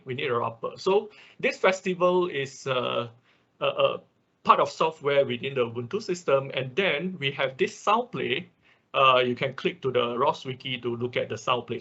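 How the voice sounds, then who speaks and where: A man's voice: medium pitch, fairly bright tone, breathy edge. A man giving an informal technical lecture as the instructor of an online class, often repeating phrases and punctuating his explanations with "okay". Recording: dull, lo-fi online class audio.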